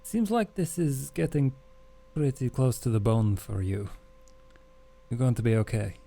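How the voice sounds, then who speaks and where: A man roleplaying a wry, calm male vampire survivor in a tabletop game, with a measured, understated delivery. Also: mid-range voice, creaky. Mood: probing, wry.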